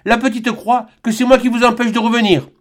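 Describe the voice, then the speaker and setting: A man doing a jeering rough old peasant. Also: steady tone, brisk. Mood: mocking. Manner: jeering, loud and high.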